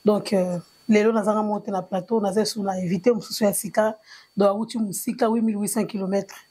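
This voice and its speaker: A woman hosts an online interview show with a husky voice. She talks in a chatty, hesitant way and leans on fillers between her questions. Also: mid-range voice, fairly even intonation, fast talker, warm tone. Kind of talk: interview hosting.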